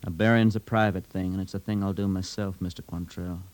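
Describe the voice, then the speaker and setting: A man's voice: low voice, steady tone, a little fast, a little mellow, natural voice. An actor playing a grim, blunt young farm man with a rural Southern American accent in an old radio drama. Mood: grim, resolved. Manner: blunt, insistent. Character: grim, stubborn young Missouri farm man seeking revenge. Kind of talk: 1950s radio drama acting.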